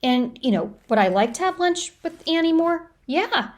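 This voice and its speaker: A woman's voice: extremely high pitch, expressive, normal speed. An American woman speaking solo as a podcast host, giving lightly scripted advice in a conversational, practical, explanatory way. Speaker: professional speaker.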